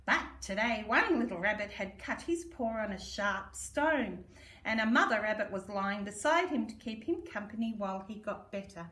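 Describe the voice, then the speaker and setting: A woman's voice: mid-range voice, expressive, normal speed, a bit bright. An Australian woman reads a children's storybook aloud in a sing-song, affectionate, theatrical way, as if to small children. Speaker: primary school story reader. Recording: roomy echo, distant microphone.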